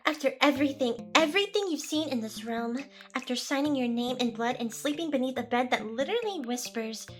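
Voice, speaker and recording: A high, feminine voice for a demon boss , acted out by a woman. She is smug, teasing and possessive, delivering her lines with theatrical seduction in an ASMR roleplay. Soft music plays faintly underneath. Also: animated, brisk, hardly any pauses. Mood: amused, indignant.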